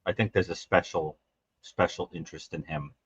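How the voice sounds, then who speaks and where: A man talking as a guest on a live video call, rambling and halting with many restarts. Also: deep voice, fairly even intonation, fairly bright tone, breathy edge, no room sound. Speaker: forensic psychologist guest. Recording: dry, muffled call audio.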